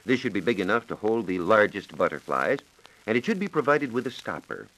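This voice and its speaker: An American man giving measured, precise instructions as a science reporter on a scripted 1940s radio program. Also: fairly low pitch, sing-song, a bit bright, rough recording. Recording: 1940s radio broadcast recording.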